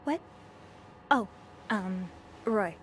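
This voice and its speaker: An earnest young hotel maid. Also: female voice, mid-range voice, expressive, drawn-out words, slight background noise. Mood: meek, nervous. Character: young hotel maid snooping for secrets, polite, earnest, curious. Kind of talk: video game voice acting.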